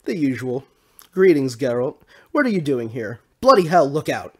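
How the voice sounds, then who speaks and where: A man's voice: medium pitch, sing-song, normal speed, darkish tone, husky. A man voicing a slim, dandyish troubadour with a theatrical, protesting manner in a storybook reading. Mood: bright, astonished. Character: slim dandyish troubadour, dramatic, pleading philanderer.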